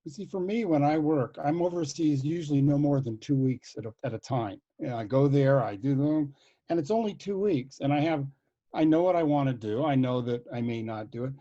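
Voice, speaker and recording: A man's voice: mid-range voice, fairly quick, warm tone, highs cut off. A man telling a playful anecdote as a panelist on a video call, joking easily. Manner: jokey, playful storytelling. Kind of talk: casual anecdote.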